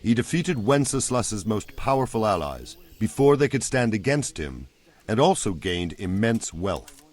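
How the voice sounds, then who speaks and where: A man's voice: lowish voice, sing-song, measured pace, darkish tone. An actor playing a seasoned, plain-spoken soldier captain in a video game, patiently explaining things to a younger man. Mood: grave, instructive. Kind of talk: video game cutscene acting.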